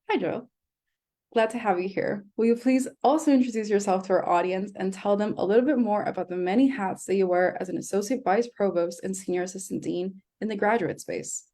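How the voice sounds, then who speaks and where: A woman's voice: mid-range voice, normal speed, dark tone. A woman from El Salvador moderating a live online session, reading upbeat scripted introductions. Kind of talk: live webinar hosting.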